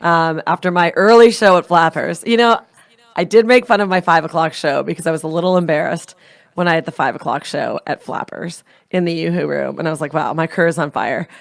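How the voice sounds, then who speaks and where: A woman stand-up comedian chatting on a podcast, with a fairly low voice. She tells long, meandering stories with lively energy. She often breaks into laughter at her own punchlines. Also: fairly quick, gravelly. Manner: rambling, animated storyteller who laughs at her own jokes. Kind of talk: casual comedic chat.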